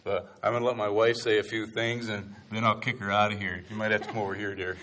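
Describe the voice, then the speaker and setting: A man who is a missionary pastor, speaking to a church congregation in a relaxed, folksy way. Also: lowish voice, quick pace, slight background noise.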